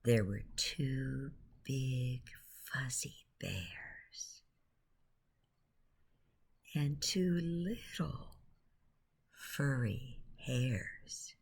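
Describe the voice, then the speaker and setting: A woman narrating a guided bedtime story in a hushed, soothing way, with long pauses and a breathy, near-whispering voice. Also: very deep voice, animated.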